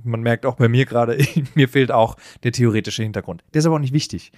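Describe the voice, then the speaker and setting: A man's voice: mid-range voice, quick pace, darkish tone, no echo, clean studio sound. A man hosting a podcast, giving casual, direct advice in a coaching manner. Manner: coaching, direct, often laughing.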